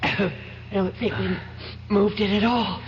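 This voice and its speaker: A woman's voice: medium pitch, fairly even intonation, takes it slowly, close to an everyday voice. An actress playing a frightened, loving young woman in an old-time radio drama, with a pleading, emotional manner. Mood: weary, tender. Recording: echoing, dull, hissy vintage radio recording.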